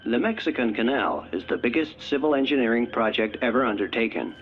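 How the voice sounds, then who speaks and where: A lively male announcer played by an actor reads a scripted presentation in a radio play. The sound is dull and lo-fi, with faint noise around it. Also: mid-range voice, fairly quick, natural voice. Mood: promotional. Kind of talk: scripted narration. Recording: dull lo-fi playback, noisy ambience.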